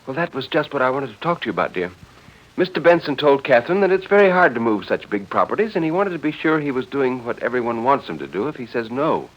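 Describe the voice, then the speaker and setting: A man voicing a poised, genteel suburban wife who coaxes softly and hesitantly, in 1940s radio drama style. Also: medium pitch, very animated, quick pace, pitched above the performer's own voice. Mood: genteel, coaxing. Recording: dull vintage 1940s radio recording.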